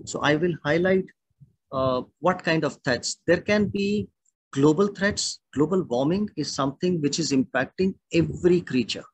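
A middle-aged man with an Indian accent, a naturalist giving a measured, explanatory talk over a video call. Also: a little high, slightly rough, low-quality recording. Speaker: naturalist and birding guide. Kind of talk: online illustrated talk. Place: indoor room at home or office, on a video call. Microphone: video call microphone.

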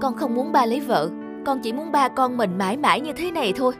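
Stubborn young schoolgirl, adult actress, animated: high, sulky, pleading, over music. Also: quick pace. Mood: tearful pleading. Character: stubborn, jealous little schoolgirl. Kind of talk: cartoon dub acting.

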